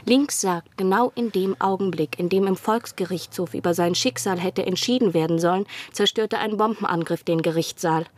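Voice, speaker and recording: An actress in a German radio drama playing a desperate young spy in love, speaking with earnest intensity and sometimes a hushed breath. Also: female voice, lowish voice, moderate pace, hardly any pauses, close to an everyday voice. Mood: insistent, arguing. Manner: earnest, intense, at times dropping to breathy whispers. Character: desperate female spy in love, pleading and persuading.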